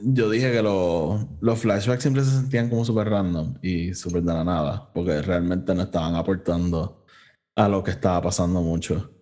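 Low-voiced Puerto Rican man chatting casually. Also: moderate pace, mellow. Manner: informal, swearing, code-switches between Spanish and English. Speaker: podcast co-host. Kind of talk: casual conversational review.

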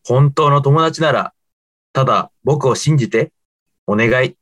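A man's voice: mid-range voice, a little slow, fairly bright tone. A man playing an earnest young student who is secretly an alien, in an amateur radio drama. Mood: pleading, solemn.

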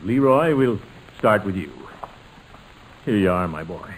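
An American actor playing a sentimental middle-aged uncle in an old-time radio sitcom, speaking haltingly and deliberately. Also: male voice, lowish voice, lively intonation. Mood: warmly ceremonious. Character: sentimental middle-aged uncle and family patriarch, hesitant, tender. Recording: noisy vintage radio recording.

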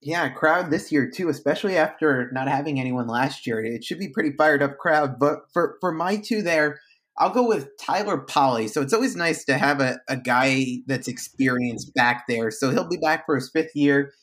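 A man in his late twenties giving measured, casual takes as a panelist. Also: fairly high pitch, fairly bright tone. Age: 20s. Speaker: podcast panelist.

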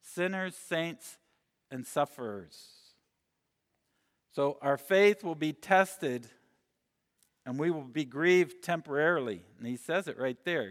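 Earnest preacher man, hall reverb. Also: medium pitch. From Mexico. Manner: earnest, slows and drops his voice for scripture and emphasis. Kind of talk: sermon. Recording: long reverb in a big hall.

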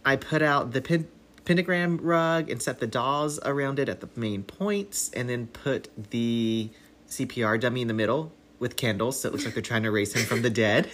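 A man's voice: mid-range voice, moderate pace, a bit bright. A man co-hosting a casual podcast at home, laughing often as he chats. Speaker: podcast co-host. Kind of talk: casual chat. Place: home studio in a living room.

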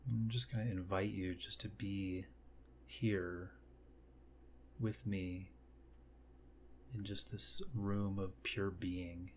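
Deep voiced guy doing guided meditation, gentle n slow phrasing w long pauses, bit of background noise. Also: steady tone.

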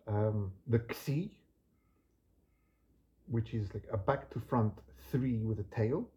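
A man hosting a relaxed podcast, talking in a gentle, unhurried and reflective way, with soft trailing endings and some Greek words read with a learner's accent. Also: fairly low pitch, poor audio. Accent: non-native Greek pronunciation when reading. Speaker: podcast host. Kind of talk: relaxed spoken monologue with poetry reading.

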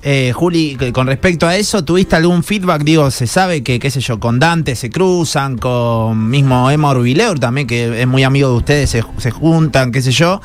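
A man's voice: mid-range voice, normal speed, hardly any pauses. A man interviewing on radio in an informal, slangy, chatty way. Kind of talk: casual chat.

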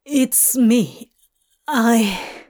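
A woman's voice: on the high side, drawn-out words, a little mellow. Woman voicing a swearing, anxious demon man. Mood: emotional.

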